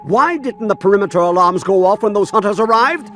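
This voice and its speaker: Villainous male doctor, game: irritable, imperious, high and animated, faint music underneath. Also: brisk. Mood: irritated. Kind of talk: video game cutscene acting.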